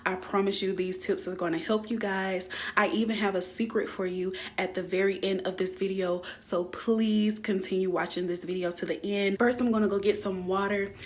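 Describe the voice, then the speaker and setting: A woman with a slightly raspy voice talking casually to viewers, explaining tips like an online creator. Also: mid-range voice, steady tone, moderate pace, hardly any pauses. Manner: addresses viewers directly, step-by-step explaining. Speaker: YouTuber giving channel growth tips. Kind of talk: casual instructional vlog talk to viewers. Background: background music.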